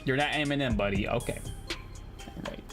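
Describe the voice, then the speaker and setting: A man with a mid-pitched voice plays a story game on a livestream, with music clearly playing behind him. He moves between reading aloud and joking, slangy commentary, tripping over bigger words as he goes. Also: fairly quick. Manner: slangy, jokey, stumbles over long words. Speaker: livestreamer playing a visual novel. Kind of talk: live game commentary mixed with reading on-screen text aloud.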